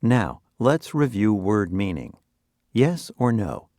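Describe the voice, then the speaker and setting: A man's voice: lowish voice, sing-song, slow, darkish tone, no echo, clean studio sound. A man presenting a scripted vocabulary review, asking and explaining in an instructional, quizzing manner. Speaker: quiz presenter.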